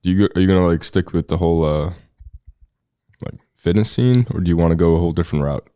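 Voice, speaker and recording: A man's voice: sits low, fast, warm tone, clear, resonant tone, no room sound, clean studio sound. A man on a podcast chatting casually, with slangy, emphatic remarks. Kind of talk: casual chat.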